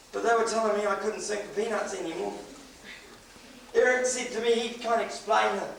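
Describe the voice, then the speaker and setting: A middle-aged man preaches a sermon and tells stories in a high, animated voice, with a chuckle now and then. He was recorded from far off in a very echoey hall, with a faint hiss behind him. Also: gravelly. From New Zealand. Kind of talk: sermon with personal story. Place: church hall.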